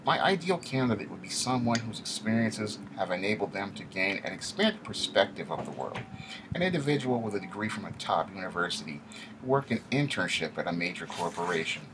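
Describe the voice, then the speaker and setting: Arrogant vice president for an audiobook, played by a man: nasal, twangy, pushed higher, pompous and contemptuous. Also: very animated, brisk, background noise. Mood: condescending. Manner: pompous, jargon-heavy, condescending. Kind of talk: audiobook character dialogue.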